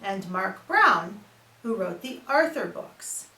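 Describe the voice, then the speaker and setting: A woman's voice: medium pitch, sing-song, slow, bright, forceful tone. A woman reading out a book introduction, recorded in a roomy space at some distance from the mic. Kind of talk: read-aloud book introduction.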